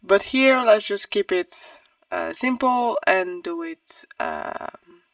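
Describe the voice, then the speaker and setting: A book reviewer talking casually and thinking aloud, in a halting, hesitant and self-correcting way. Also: mid-range voice, gravelly, a bit bright, no echo, far from the mic. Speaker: book reviewer on own channel.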